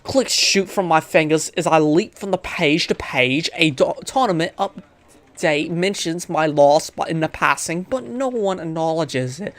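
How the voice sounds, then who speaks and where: A man's voice: on the high side, measured pace, a bit bright, no room sound. Man doing casual, stumbling let's-play commentary. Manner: stumbles while reading aloud. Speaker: gaming video host. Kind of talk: casual let's-play commentary, reading game text aloud.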